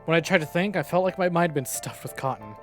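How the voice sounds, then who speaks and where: A male streamer reading a visual novel aloud with dramatic, expressive narration. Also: fairly high pitch, quick pace. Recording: game music playing behind.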